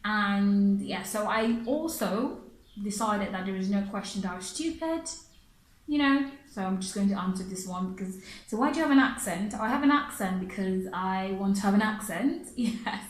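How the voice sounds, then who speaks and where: Woman, from Africa, non-native English speaker, casual vlog talk, laughs a lot, repeats herself, mid pitch, echoey room. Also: animated, moderate pace. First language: not English. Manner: chatty, laughs often, repeats phrases. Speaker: pronunciation teacher. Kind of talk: casual vlog answering viewer questions.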